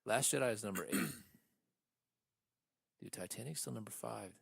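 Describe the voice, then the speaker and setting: A man's voice: on the low side, unhurried, creaky, slightly rough, no room sound, studio quality. A man co-hosting a geek-culture podcast, bantering in a blunt, slangy way with frequent swearing. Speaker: podcast co-host.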